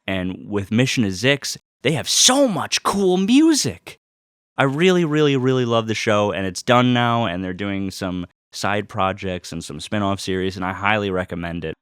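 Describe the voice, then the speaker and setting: Very deep-voiced man, casual and enthusiastic. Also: expressive, moderate pace, fairly bright tone, smooth, dry recording, studio quality. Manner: enthusiastic, profane, emphatic repetition. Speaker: vlogger unboxing vinyl and merchandise. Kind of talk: casual, rambling fan chat to viewers.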